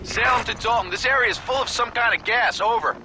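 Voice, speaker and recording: An actor playing a cocky, impatient American mercenary in a video game cutscene, slangy and dismissive. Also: male voice, very high-pitched, quick pace, background noise. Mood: urgent. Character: brash, cocky male mercenary, impatient and carefree.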